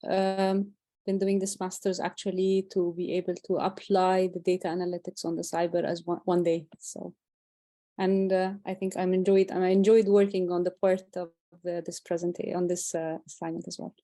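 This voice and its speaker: A woman from Egypt introduces herself as a student, halting and restarting phrases. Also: on the low side, level tone, mellow, dry recording. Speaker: student, cybersecurity worker.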